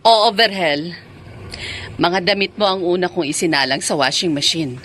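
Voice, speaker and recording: A woman's voice: fairly low pitch, normal speed, a bit bright, a little hiss or hum, natural voice. An actress playing a dutiful, long-suffering middle-aged stepmother in a Filipino radio drama. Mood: flustered, insistent.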